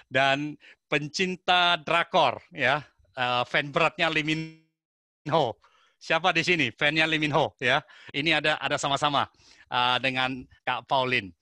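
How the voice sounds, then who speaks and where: A man's voice: on the high side, steady tone, unhurried, sharp tone, dry recording. A man hosting a webinar, introducing the guest with friendly, teasing asides to the audience. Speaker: webinar host.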